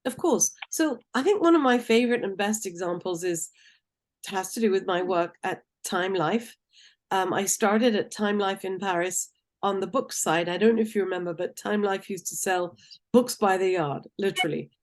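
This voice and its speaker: Articulate woman. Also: medium pitch. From Tunisia. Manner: articulate, measured, with occasional ums. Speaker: guest. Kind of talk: interview answers.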